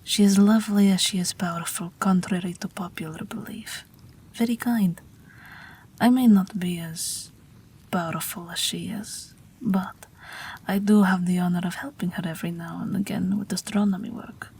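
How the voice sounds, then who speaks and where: A woman's voice: lowish voice, fairly even intonation, heavily acted. A woman voicing an ageless Russian night witch pilot, wry, tender and weary, in an intimate, whispery audio roleplay. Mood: wry, exasperated. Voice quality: breathy, whispery. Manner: gentle, dryly wry, unhurried.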